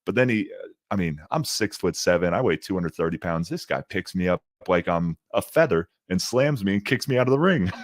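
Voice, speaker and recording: Guest spot: young man, deep gravelly voice, very low pitch, upbeat and quick to laugh, dry close sound. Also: lively intonation, no room sound. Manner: laughs often, upbeat storytelling. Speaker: guest, ring announcer. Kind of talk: casual interview chat.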